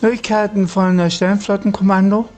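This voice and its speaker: A very mellow, soft-edged voice reads scripted lines as a starship commander. The delivery is formal and military, with faint music behind it. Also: mid-range voice, steady tone, moderate pace.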